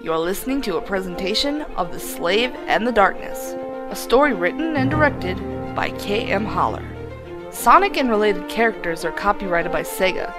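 A woman's voice: medium pitch, lively intonation, moderate pace. A woman announcer reading a scripted introduction to a radio drama. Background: loud music.

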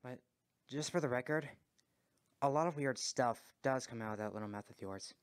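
A man's voice: mid-range voice, moderate pace, close to an everyday voice. A man voicing a young, kindhearted male dragon hero, earnest and sincere, in an amateur video game fandub. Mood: calm, sincere.

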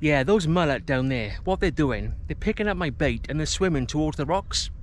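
A relaxed man in his thirties talks to a camera on a tripod while fishing from a rocky seawall, his voice a touch higher than most men's. He chats easily about what he sees on the water, recorded outdoors with a faint outdoor hum behind him. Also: moderate pace. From Wales. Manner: relaxed, chatty, commentating on his fishing as it happens. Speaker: fishing vlogger. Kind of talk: casual outdoor vlog talk to camera. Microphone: camera on a tripod at a short distance.

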